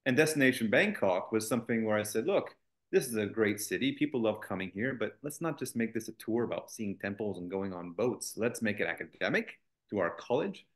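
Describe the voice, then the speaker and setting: A man's voice: lowish voice, animated, brisk. A man, a college executive as interview guest, speaking fluently with confident, joking ease. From United States. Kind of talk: interview conversation.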